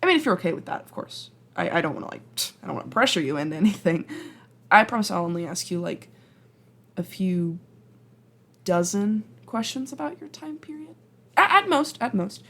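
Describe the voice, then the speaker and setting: A flustered woman from the far future, acted by a woman in an ASMR roleplay. Her voice sits at a middling pitch and moves expressively between murmurs and exclamations. She talks straight to the listener in a halting, awkward ramble, stammering as she goes. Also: sing-song, a bit bright. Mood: sheepish pleading.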